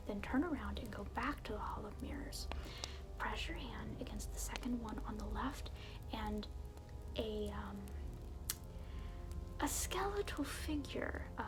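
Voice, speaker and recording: A woman voicing an evil alter ego who keeps the keys of hell, whispering in a soft, teasing and menacing way for an ASMR roleplay, with music under her voice. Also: mid-range voice, lightly acted.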